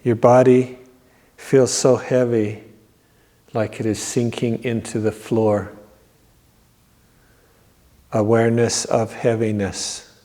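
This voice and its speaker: A man leading a guided yoga nidra meditation in a measured, repetitive, instructing manner. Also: mid-range voice, flat delivery, warm tone. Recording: long reverberant echo on voice.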